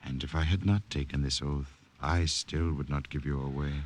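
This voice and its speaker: A fatherly old priest with an Italian accent in a vintage radio play. The voice is extremely low, gentle and steadfast, offering comfort in measured phrases. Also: fairly even intonation, a little hiss or hum. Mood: solemn, reassuring. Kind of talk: 1950 radio drama acting.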